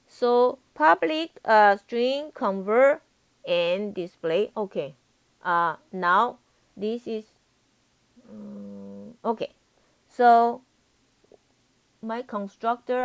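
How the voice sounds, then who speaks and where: A woman's voice: medium pitch, animated, takes it slowly, creaky, highs cut off. A woman teaching a live programming lesson as a professor, repeating herself and often checking that students understand. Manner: repetitive, frequently checks for understanding.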